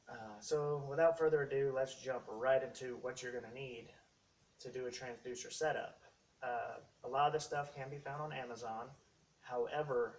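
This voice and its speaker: A man hosting a casual how-to video, talking through equipment step by step in short, halting phrases. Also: medium pitch. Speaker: host of a how-to tech video series. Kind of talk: casual unscripted product walkthrough. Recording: distant mic, faint background noise.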